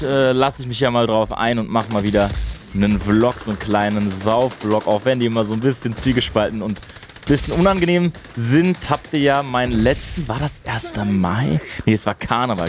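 A young man chats away as he plays, enthusiastic and easily sidetracked. His voice sits at an ordinary middle pitch, close and clear on a boom mic in a small home room. Faint game gunfire and mouse clicks sit low behind him. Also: noisy background. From Berlin, Germany. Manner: chatty and enthusiastic, rambling asides. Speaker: gaming streamer. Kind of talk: casual chat while gaming. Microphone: studio mic on a boom arm, wearing headphones.

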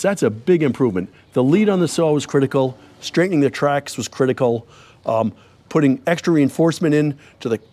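A man's voice: on the high side, darkish tone. An older man hosting, explaining his sawmill repairs in a folksy, plainspoken way with wry asides, recorded outdoors on a lapel mic. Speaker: host showing his restored sawmill. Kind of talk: casual show-and-tell explanation. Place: outdoors under an open sawmill shed.

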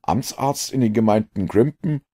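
A man voicing an earnest, sceptical gentleman companion in an audiobook, speaking with emphatic, forceful delivery. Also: lowish voice, normal speed, breathy edge, pitched above the performer's own voice. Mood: reading aloud. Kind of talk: audiobook character reading.

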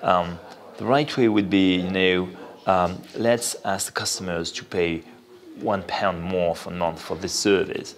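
Low-voiced Frenchman, halting interview talk. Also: steady tone. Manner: halting, thinking aloud with repeated "you know". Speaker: interview guest, venture capital investor. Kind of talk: spoken interview, explanatory talk.

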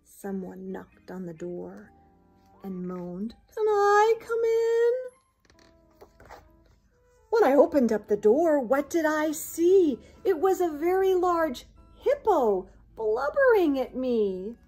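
A woman, reading a picture book to children in a dramatic, expressive way. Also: high voice, takes it slowly, soft background music. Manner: dramatic, theatrical. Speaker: volunteer storyteller. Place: small indoor room with bookshelves.

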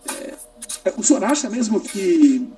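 A middle-aged Brazilian man speaking as an academic in an online discussion, halting and repeating himself as he searches for words. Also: high-pitched, big pitch swings, dark tone, faint music behind. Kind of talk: extended philosophical question in online panel. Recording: distant mic, slightly noisy video call.

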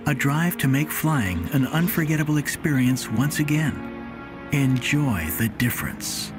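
Guy doing a calm corporate voiceover, medium pitch, takes his time, big music behind him. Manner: measured, deliberate delivery with slow pauses. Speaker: corporate video narrator. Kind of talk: scripted promotional voice-over. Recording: voice-over under loud music.

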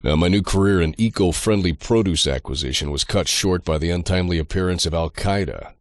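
Video game antihero narrator: low, sarcastic drifter, deadpan wit, dry studio sound. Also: male voice, brisk, no room sound. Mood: dry sarcasm. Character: sardonic, foul-mouthed male drifter antihero narrating his misadventures.

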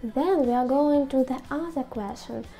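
A woman's voice: sits high, moderate pace, warm tone. A woman in her twenties hosting a video, giving scripted advice to camera in non-native English phrasing. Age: 20s. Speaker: YouTube host. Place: small quiet room at home.

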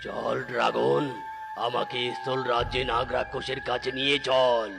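Guy doing a slow growly cloud demon villain, cartoon, music blaring under it. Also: level tone, gravelly. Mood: menacing. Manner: slow, menacing, booming villain delivery. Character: boastful scheming male cloud demon. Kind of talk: cartoon story voice acting. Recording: loud background music.

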